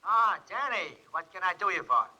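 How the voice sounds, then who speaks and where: Pun-loving reference-room clerk, high and extremely bright, chirpy; vintage radio mystery. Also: male voice, big pitch swings, normal speed, highs cut off, heavily acted. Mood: jokey, cheery. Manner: jaunty, wisecracking. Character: chirpy, joking newspaper morgue clerk fond of puns. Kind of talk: 1940s radio drama acting.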